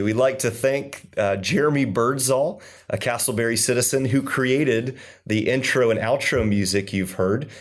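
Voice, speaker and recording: A man co-hosting a local community podcast, upbeat and promotional, with fillers and restarts. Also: medium pitch. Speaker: podcast co-host.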